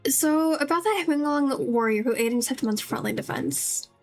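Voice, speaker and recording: Woman game streamer w a high voice, rambling casually to chat, music playing behind her. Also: normal speed. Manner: chatty, rambling to viewers. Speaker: livestreamer playing a video game.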